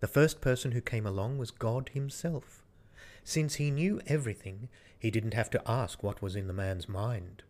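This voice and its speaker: A man's voice: mid-range voice, moderate pace, darkish tone. A man reading a fairy tale as a storyteller, in scripted narration.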